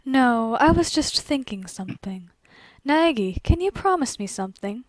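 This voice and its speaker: Woman acting elegant young gothic lady, high voice, composed, formal, measured, dry close sound, fan fiction character. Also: expressive, no echo. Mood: gentle, earnest.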